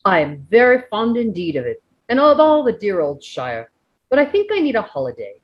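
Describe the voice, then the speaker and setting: Genial, determined little hobbit man, female reader, storybook style, very animated pitch, husky, slightly muffled. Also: fairly quick. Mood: wistful. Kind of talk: volunteer storybook reading. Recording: dull lo-fi microphone.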